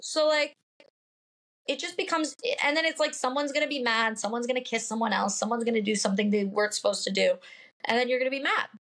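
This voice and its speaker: A woman's voice: on the high side, quick pace, fairly bright tone. A woman in her late twenties with a nasal voice, chatting casually as a podcast guest, upfront and full of fillers. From Pacific Northwest. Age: late 20s. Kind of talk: casual chat.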